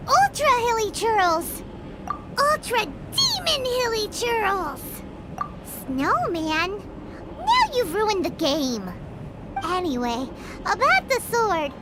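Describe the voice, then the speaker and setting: A woman playing a tiny, chatty, excitable childlike companion in a video game, squeaky and theatrically exclamatory. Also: very noisy, heavily acted. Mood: indignant, surprised. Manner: refers to herself in the third person, exclamatory, theatrical. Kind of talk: video game character dialogue. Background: game music.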